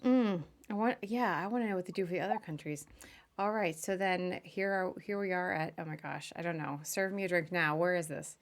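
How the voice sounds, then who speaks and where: A woman's voice: lowish voice, a little fast. A woman hosting a casual podcast interview in an informal, chatty way. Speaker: podcast host.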